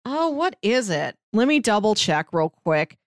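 A woman's voice: medium pitch, sing-song, measured pace, no echo. Woman podcast co-host, dry close sound. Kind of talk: casual podcast chat.

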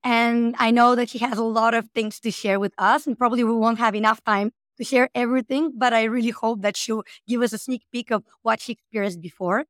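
A woman's voice: on the high side, steady tone, a little fast, hardly any pauses, no echo. A woman from Bulgaria hosting a podcast and interviewing a guest in an upbeat way, sometimes repeating words as she goes. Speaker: podcast host.

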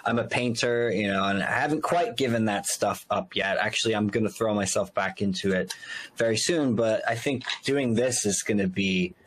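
A man's voice: on the low side, normal speed, a bit bright. A man in his early thirties hosting a podcast interview, talking casually and earnestly with lots of fillers and loose, rambling sentences. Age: 30s. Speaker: podcast host.

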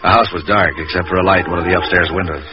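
An actor playing a tough, cynical private eye in a 1940s radio drama, terse, sardonic and wisecracking. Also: male voice, deep voice, steady tone, very fast, music in the background, close to an everyday voice. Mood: wry, weary. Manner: terse, sardonic, hard-boiled. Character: tough, cynical, wisecracking male hired investigator. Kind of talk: 1940s hard-boiled radio acting and narration. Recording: muffled, noisy old radio recording.

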